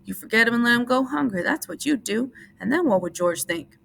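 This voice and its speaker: A woman's voice: mid-range voice, fairly quick, gravelly, fairly bright tone. A woman voicing a giant talking rabbit that taunts with scorn, in a storybook reading. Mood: scornful.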